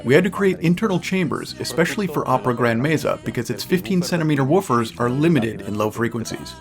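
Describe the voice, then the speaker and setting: A man's voice: medium pitch, animated, quick pace. Man narrating a product film over music. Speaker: loudspeaker company representative presenting products. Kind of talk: scripted promotional narration. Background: background music with guitar.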